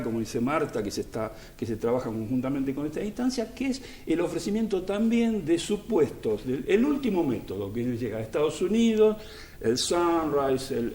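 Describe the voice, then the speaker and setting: A man's voice: medium pitch, dark tone, echoey room, a little hiss or hum. A man, speaking as an education specialist on a panel discussion, earnest and emphatic, building his points through repetition.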